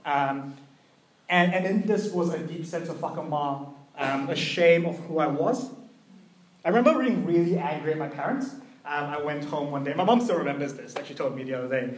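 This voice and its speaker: A young man giving a conversational sermon, earnest and personal, with a gently hesitant delivery. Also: fairly high pitch, moderate pace, reverberant, rough recording. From New Zealand and Malaysia. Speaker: preacher.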